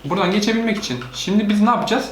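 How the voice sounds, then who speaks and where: A woman's voice: mid-range voice, animated, racing. A woman casually commentating on a video game as she plays with a child, often prompting with questions. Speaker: gaming video host playing with a child. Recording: roomy echo, faint background noise.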